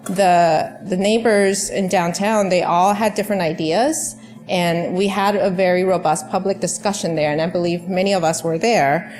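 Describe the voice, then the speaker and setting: A woman city councilor speaking in a council meeting in a measured, procedural way. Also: on the low side, fairly even intonation, darkish tone, faint music behind. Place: council chamber.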